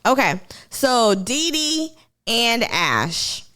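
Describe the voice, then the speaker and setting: Woman, Kenyan accent, live-stream host, smooth clear voice, slow casual chat. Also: a little high, sing-song, sharp tone. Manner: chatty, addresses viewers as y'all. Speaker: streamer reviewing a TV show.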